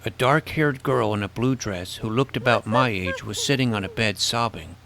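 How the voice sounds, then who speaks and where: A man's voice: lowish voice, normal speed, gravelly, a bit bright, a little hiss or hum. A man narrating a comic fantasy adventure in the first person as its self-important young hero.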